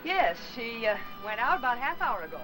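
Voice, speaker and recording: An actress playing a helpful, observant neighbor with a polite, tactful manner in a 1950s Hollywood film. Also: female voice, on the high side, very animated, brisk, music in the background, low-quality recording. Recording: old film soundtrack.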